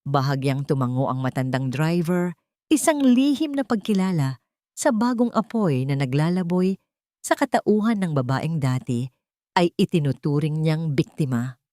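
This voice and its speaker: A woman's voice: low-pitched, sing-song, normal speed, warm tone, dry recording, studio quality. A woman reading scripted dramatic narration as the narrator of a love story.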